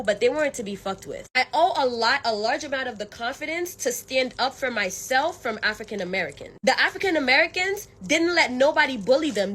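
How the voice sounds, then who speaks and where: Candid, fairly high-voiced young woman. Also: a little hiss or hum. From Haiti. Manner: candid, profane, animated, direct to viewers. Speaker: vlogger. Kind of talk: casual chat and storytelling.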